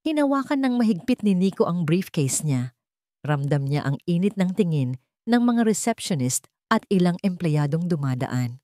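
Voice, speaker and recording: A woman's voice: fairly low pitch, sing-song, normal speed, warm tone, dry recording, clean studio sound. A woman reading a scripted dramatic story as its narrator.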